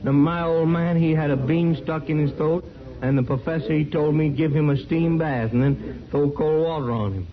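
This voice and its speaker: Male rustic with a grudge played by an actor, folksy and halting, higher-set voice, muffled vintage radio. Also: natural voice. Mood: aggrieved, halting. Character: aggrieved, slow-witted rustic frontier son, male, vengeful. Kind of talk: old-time radio western acting. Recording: muffled vintage radio recording, crowd noise.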